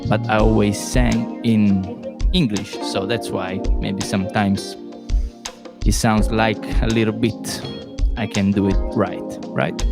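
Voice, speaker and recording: A man's voice: fairly low pitch, little pitch movement, takes it slowly, over music. A man from Rome in his late twenties with an Italian accent, hosting a live podcast Q&A, chatty and hunting for words with many fillers. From Italy, Rome. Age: late 20s. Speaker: podcast host and musician. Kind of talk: live solo Q&A stream.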